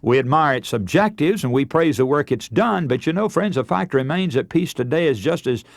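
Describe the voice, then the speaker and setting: A man's voice: fairly high pitch, animated, a little fast, vocal fry, no room sound. A man preaching a scripted radio sermon in an earnest, emphatic, oratorical manner, putting rhetorical questions to his listeners. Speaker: radio preacher and broadcast host.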